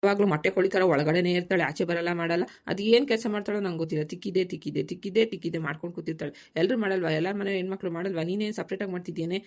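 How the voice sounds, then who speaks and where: A woman voicing a quick-tempered village farmer husband who bickers and coaxes in a family cartoon, with a rural southern Karnataka accent. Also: on the low side, natural voice. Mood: irritated, belittling. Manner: bickering, colloquial, nagging questions.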